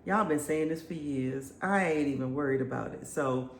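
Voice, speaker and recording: A woman's voice: a bass voice, moderate pace. A woman in her fifties, congested and stuffy-sounding, chatting casually to her viewers and telling stories with frequent laughs. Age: 50s. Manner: chatty, laughs often, lively storytelling. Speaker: vlogger. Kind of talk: casual chat to camera. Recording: echoey room, faint background noise.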